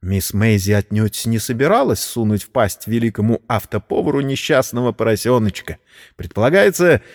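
Animated male storyteller with long reverb. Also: medium pitch. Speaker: narrator. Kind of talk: dramatic scripted story narration.

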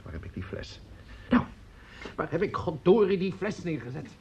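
An actor playing a tippling, blustery music teacher and father in a radio play, rambling and halting as he talks. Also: a little high, big pitch swings, darkish tone. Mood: stubborn, muttering. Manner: rambling, halting, prone to mutter and swing up. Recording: dull, noisy radio play recording.

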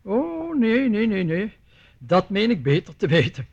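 High, creaky, sly gentleman. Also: very animated, a little slow, highs cut off, exaggerated voice. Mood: smooth menace. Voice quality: creaky, husky. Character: sly, overly polite gentleman with veiled threats. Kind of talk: radio drama acting.

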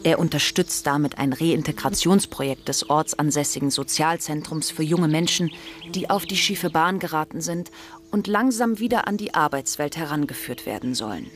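An actress as the detached, observant narrator of a satirical radio drama, reading in a measured, matter-of-fact, deadpan manner. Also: female voice, low-pitched, lightly acted.

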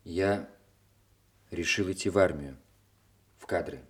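An actor playing an earnest, respectful young officer son in a Soviet radio drama. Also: male voice, deep voice, unhurried, breathy edge. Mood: quiet, earnest.

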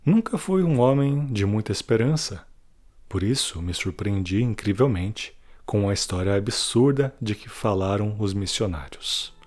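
A man playing a weary, guilt-ridden prisoner who confides a farewell testimony in a solemn, deliberate audio drama monologue. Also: lowish voice, animated, a little mellow. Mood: grim, resigned.

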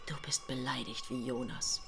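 Low-voiced, mocking angel. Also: female voice, little pitch movement, moderate pace, vocal fry, a bit bright, faint music behind, exaggerated voice. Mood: cool reproach. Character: stern, mocking female angel, once Satan, chiding a prophet. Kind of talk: radio drama acting.

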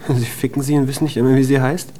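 A man's voice: mid-range voice, steady tone, fast talker, warm tone, a little hiss or hum, lightly acted. An actor in a German radio drama playing an agitated jilted lover, mocking and needy as he presses for answers.

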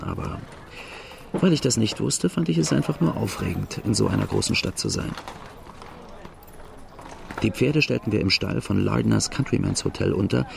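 A man's voice: fairly low pitch, gravelly, a little hiss or hum. An actor narrating a radio drama in the first person as a plain-spoken outlaw looking back on his boyhood.

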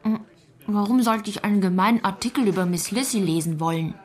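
A woman's voice: medium pitch, fairly quick, lightly acted. A young-sounding woman playing an earnest, loyal, politely stubborn teenage girl in a German radio drama. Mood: polite, sceptical.

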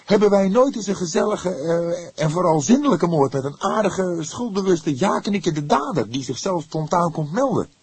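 A man acts out a young police detective from an audiobook, his voice pushed high and rough at the edges. He is hot-headed and indignant, stressing his words with agitated energy. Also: lively intonation, normal speed, hardly any pauses, a little mellow, no echo, exaggerated voice. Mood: exasperated. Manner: agitated, emphatic. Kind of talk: audiobook character voice.

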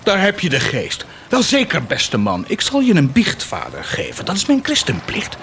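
An actor playing a cruel, blasphemous warlord in a radio drama, mocking and grandiose, declaiming sardonically with dramatic pauses. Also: male voice, high-pitched, wildly swooping pitch, creaky, a bit bright, slight background noise, full character voice. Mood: sardonic, cruel. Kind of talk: theatrical radio drama acting.

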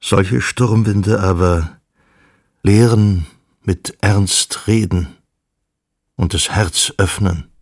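A man's voice: low voice, no echo, studio quality, heavily acted. A man reciting grave psalms and old religious texts in a literary radio play, solemn and weighty. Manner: slow, weighty, deliberate delivery. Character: grave male voice reciting psalms and Luther's words.

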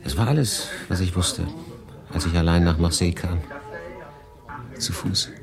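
A male actor playing a world-weary refugee in 1940s France, confiding his story in a dramatic monologue with deliberate pauses. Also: low-pitched, gravelly, over music. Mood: matter-of-fact. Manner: conversational, deliberate pauses, shifting between emphatic and hushed. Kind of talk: dramatic first-person monologue, literary audio drama.

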